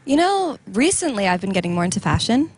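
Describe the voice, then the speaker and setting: Woman, musician guest, self-deprecating, laughs a lot, middle pitch. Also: very animated, quick pace, slightly rough. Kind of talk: casual radio interview.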